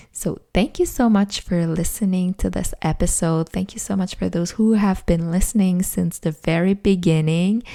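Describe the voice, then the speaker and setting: A woman's voice: fairly low pitch, vocal fry, dark tone. A young adult woman with a French Canadian accent, a native French speaker, hosting her own podcast in an earnest, chatty way from her home office, with many "like" fillers. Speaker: podcast host. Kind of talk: solo podcast monologue.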